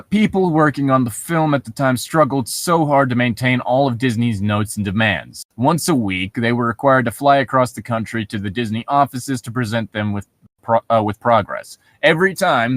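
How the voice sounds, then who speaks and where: A man co-hosting, reading internet horror stories aloud with casual commentary in a halting, self-correcting manner. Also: mid-range voice, no room sound.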